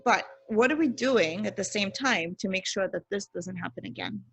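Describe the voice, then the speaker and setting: A woman with a medium-pitched voice, recorded dry with hardly any room sound. She speaks as an interview guest, explaining things earnestly and deliberately and leaning on key words. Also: gravelly, fairly bright tone, slightly rough, dry recording, poor audio. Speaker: podcast guest, pediatrician.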